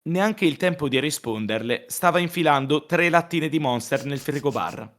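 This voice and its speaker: A man chatting casually as co-host of a stream, telling teasing anecdotes in an animated way and mimicking quoted lines. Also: on the high side, normal speed.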